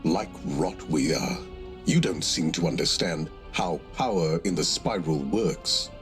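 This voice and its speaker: A man's voice: rumbling low, measured pace, soft background music. An actor playing an arrogant, menacing lord in a video game, sneering and domineering as he speaks. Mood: contemptuous menace. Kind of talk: scripted video game villain acting.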